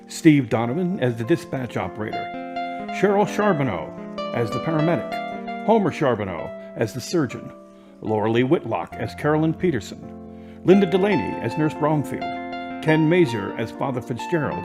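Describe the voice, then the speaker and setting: Guy narrating a radio drama, even delivery, guitar playing under it. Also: medium pitch. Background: guitar music.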